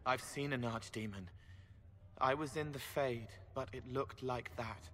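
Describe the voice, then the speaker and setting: An actor playing a strange, gentle young man in a video game, speaking earnestly in halting, childlike phrases. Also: medium pitch, close to an everyday voice. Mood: troubled earnestness. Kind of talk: dramatic video game acting. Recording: long hall reverb, background ambience noise.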